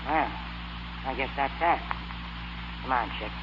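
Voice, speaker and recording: An actor playing a slangy, loyal, tough Brooklyn fight manager in a 1930s radio comedy. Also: male voice, mid-range voice, takes it slowly, lightly acted. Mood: dejected, resigned. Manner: slangy, streetwise, ungrammatical. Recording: noisy muffled 1930s radio recording.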